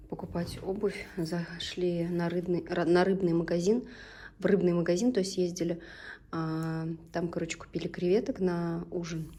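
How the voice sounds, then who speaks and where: Chatty Tatar-born woman, lowish voice. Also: mellow, a little hiss or hum. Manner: chatty and homely, often breaks off to instruct her children. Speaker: vlogger and mother. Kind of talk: casual home vlog chat while cooking with kids. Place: home kitchen.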